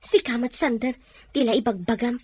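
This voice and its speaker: A woman's voice: a little high, moderate pace, a little mellow, slightly rough. An actress playing an inquisitive, teasing young female friend in an audio drama. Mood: curious, teasing. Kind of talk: Ilocano audio drama acting.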